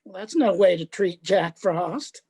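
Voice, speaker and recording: A man's voice: high voice, lively intonation, unhurried, a little mellow, breathy edge, exaggerated voice. A man playing a haughty, grumpy theatrical sidekick. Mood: dry disdain. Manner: dry, hammy, indignant. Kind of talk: 1950s radio detective play acting.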